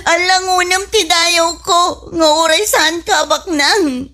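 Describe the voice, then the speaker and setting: A woman's voice: very high voice, steady tone, takes it slowly, pushed and bright, heavily acted. A woman playing a boastful, whiny young pregnant woman in an Ilocano comedy radio drama, with an Ilocano accent. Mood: tearful, pleading. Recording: music bed behind the voice.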